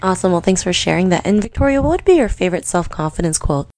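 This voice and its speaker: An upbeat woman hosts an interview podcast, encouraging her guest and saying "you know" often. Her voice sits a little low, and the sound is dry and close. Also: animated, fast, darkish tone, no room sound. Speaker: podcast host.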